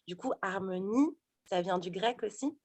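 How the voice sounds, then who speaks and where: A woman's voice: medium pitch, animated, normal speed, no echo. A woman in her thirties, a sophrologist and stage actor, talking casually in an interview with many fillers and restarts. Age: 30s.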